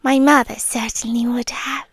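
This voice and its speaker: A woman with a British accent playing a possessive, devoted tailed creature girlfriend in a soft, breathy, often whispered ASMR roleplay. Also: a little high, fairly even intonation, moderate pace, dry recording, studio quality. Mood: confessional, fond. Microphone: close, dry ASMR microphone.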